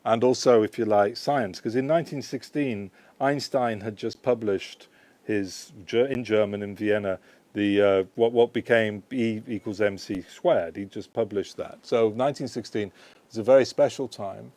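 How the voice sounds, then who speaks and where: A man's voice: lowish voice, normal speed, a little mellow, no room sound. A man, an author, answering audience questions at a book launch in a shop, talking in a rambling, conversational way full of "you know" and "right?". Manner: digressive, self-correcting. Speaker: author presenting his book.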